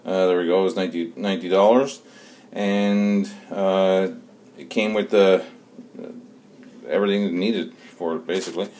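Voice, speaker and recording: A man giving a casual, unscripted walkthrough as an amateur vlogger, speaking haltingly with frequent pauses. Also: low-pitched, fairly even intonation, darkish tone. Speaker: amateur vlogger showing an installation. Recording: clear car and outdoor background noise.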